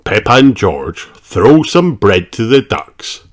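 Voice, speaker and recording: Children's storybook narrator, adult, unhurried and highly expressive, some noise and clicks behind. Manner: expressive, deliberate read-aloud delivery. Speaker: storybook reader.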